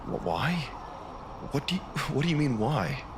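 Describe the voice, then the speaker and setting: A man's voice: medium pitch, sing-song, normal speed, background noise, close to an everyday voice. An actor playing a mild-mannered, worried man in his thirties, speaking in a hesitant, conversational way for a comic dub. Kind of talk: comic dub acting, naturalistic. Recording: music behind the voice.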